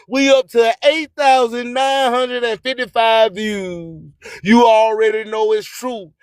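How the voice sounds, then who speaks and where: A radio host with a very high voice for a man, speaking slowly and emphatically. His delivery is declamatory and clean, built on repeated catchphrases and motivational address. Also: fairly even intonation, clean tone, dry recording. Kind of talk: motivational radio monologue with promotional shout-outs.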